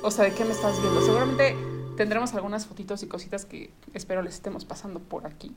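Young mexican woman, guest on a podcast, animated storytelling, lots of slang, middle pitch. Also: quick pace, faint music behind. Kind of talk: casual podcast chat. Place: studio in front of a camera and light.

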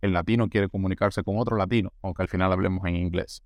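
Man, Colombian accent, low-pitched, chatty, dry close sound. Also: fairly even intonation, quick pace, no echo. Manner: talkative, restarts words, acts out quoted voices. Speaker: interview guest, newcomer. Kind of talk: casual interview.

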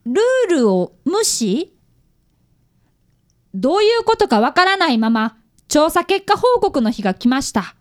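A woman's voice: high-pitched, sing-song, a little slow, pauses often. A woman acting an earnest, polite younger woman in a radio drama. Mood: curious. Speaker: amateur radio drama actor.

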